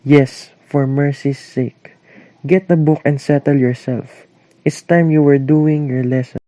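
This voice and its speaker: A man's voice: on the high side, steady tone, a little slow, dark tone, dry recording, close to an everyday voice, poor audio. Man playing a grumpy father, dry sound. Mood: irritated. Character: grumpy, stern father working at home. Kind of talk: amateur English-language radio play.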